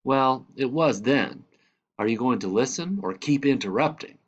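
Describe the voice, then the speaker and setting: A man's voice: mid-range voice, animated, normal speed, voice pushed up. A man voicing a candid, wry grandfather who tells his grandson a storybook tale with dramatic emphasis, in a slightly dull recording. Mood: wry, earnest.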